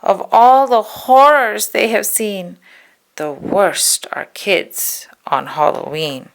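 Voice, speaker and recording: A woman reading a spooky children's poem aloud in a dramatic, hushed storytelling manner, stretching words and dropping into whispers. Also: mid-range voice, big pitch swings, slow pace, creaky. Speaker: storybook reader.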